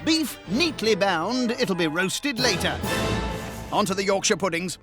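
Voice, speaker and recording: A man's voice: high voice, lively intonation, unhurried. A British man giving sardonic voice-over commentary, dry and teasing. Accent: British English. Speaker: show narrator. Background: music.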